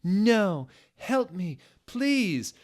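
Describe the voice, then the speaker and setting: Man as a high-pitched preppy mean girl. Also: very animated, drawn-out words, exaggerated voice. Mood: terrified. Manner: taunting, theatrical.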